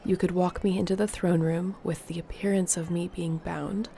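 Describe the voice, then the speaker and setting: A woman playing an earnest, determined fantasy sorceress in an intimate audio roleplay, speaking in a measured, softly formal way. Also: lowish voice, fairly even intonation, vocal fry, a little hiss or hum. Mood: intent, planning.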